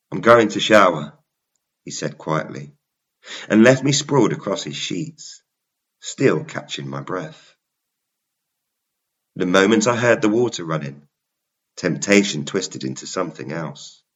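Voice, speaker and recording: A man narrating a suspense romance story in a measured, dramatic way, in short phrases. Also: low-pitched, a bit bright, highs cut off. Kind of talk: scripted first-person suspense romance narration.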